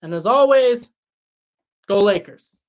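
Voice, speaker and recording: A young man hosting a livestream and answering chat in a relaxed, chatty way, his voice tired after hours of talking. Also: high-pitched, slow pace. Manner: relaxed, chatty, many fillers and restarts. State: voice tired after a long stream. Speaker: livestream host reading chat.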